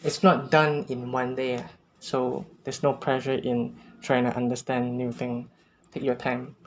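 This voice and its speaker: A man's voice: mid-range voice, little pitch movement, a little slow. Earnest man sharing tips. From Malaysia. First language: Cantonese. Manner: earnest, unpolished, with non-native phrasing and small restarts. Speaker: language-learning vlogger. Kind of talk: casual tips talk to camera.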